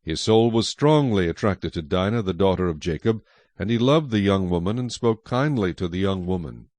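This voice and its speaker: A man narrates scripture in a solemn, measured way. His voice is on the low side and recorded dry, with no room around it. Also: animated, a little mellow, dry recording.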